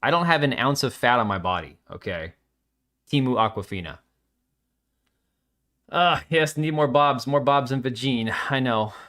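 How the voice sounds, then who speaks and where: A middle-aged man running a live stream, with a voice of ordinary pitch for a man. He rambles loosely from point to point and tosses off quick jokes in answer to chat. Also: lively intonation, fairly bright tone. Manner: rambling, jokey, reacts to chat with quick asides. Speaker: livestream host.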